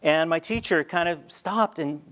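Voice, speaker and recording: A middle-aged teacher telling personal stories in a talk on a large indoor stage, shifting between quiet reflective moments and light humor. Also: male voice, on the high side, a little slow. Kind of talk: storytelling keynote talk. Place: large stage before a seated audience, indoors. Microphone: headset mic.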